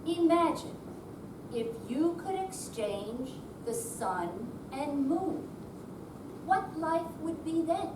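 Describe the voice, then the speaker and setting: A woman performing a readers theater piece, delivering short narrative phrases in a measured way with pauses. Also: high-pitched, very animated. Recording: roomy hall, distant mic, background noise.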